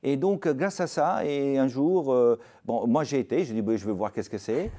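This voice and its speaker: An older man from Italy with an Italian accent, a restaurateur telling stories in a TV interview, halting with many fillers. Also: mid-range voice, warm tone. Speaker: restaurateur guest. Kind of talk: TV interview, anecdotal.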